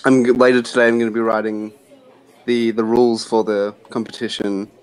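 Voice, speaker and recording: A man's voice: medium pitch, little pitch movement, brisk, a little mellow, clean tone, rough recording. A man talking on a live stream in an easygoing, joking way, with frequent "um"s. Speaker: community team member. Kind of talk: casual live-stream chat.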